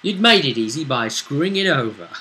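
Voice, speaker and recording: Man, bright forceful voice, game master, casual recap, halting storyteller delivery. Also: medium pitch, animated. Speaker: game master reviewing a tabletop role-play session.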